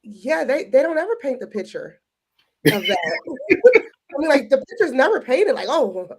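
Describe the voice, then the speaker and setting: A woman's voice: sits high, big pitch swings, a little slow. A woman co-hosting a podcast, talking candidly in a casual chat and acting out quoted remarks. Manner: candid, storytelling with quoted asides. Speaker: podcast co-host.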